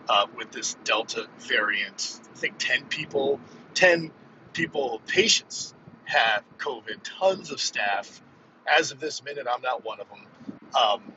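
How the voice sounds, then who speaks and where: Bright-voiced man in his fifties, lo-fi podcast. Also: medium pitch. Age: 50s. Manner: rambling, informal, emphatic. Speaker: podcast host and physical therapist coach. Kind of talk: casual solo podcast chat. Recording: dull lo-fi, vehicle noise behind.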